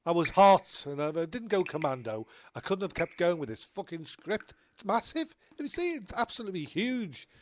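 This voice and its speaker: A man's voice: sits high, very animated, a little fast, few pauses, no room sound. A man playing a blunt, exasperated, excuse-making actor in a comic audio drama. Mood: indignant. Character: indignant, sweary, excuse-making actor in a bickering troupe.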